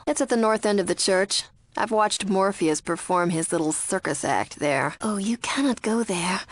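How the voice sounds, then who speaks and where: A woman playing a guarded, secretly cynical cult follower in a video game, with a husky voice. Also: mid-range voice. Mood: airy, evasive. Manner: alternately airy devout reverence and blunt disdain. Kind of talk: video game character acting.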